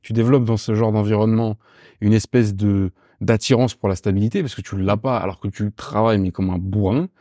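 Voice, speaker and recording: Man, 30s, from Paris, deep mellow voice, casual interview guest, fillers, slang. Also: brisk, no room sound, clean studio sound. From Paris, France. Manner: relaxed, informal, many fillers and slang, searching for words. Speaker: podcast guest.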